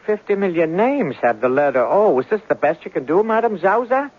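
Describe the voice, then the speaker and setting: High-pitched, exasperated lovelorn skeptic. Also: male voice, sing-song, brisk. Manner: indignant, literal-minded questioning. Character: lovelorn, skeptical, exasperated man seeking his sweetheart. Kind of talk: 1970s radio drama acting. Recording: dull 1970s radio recording.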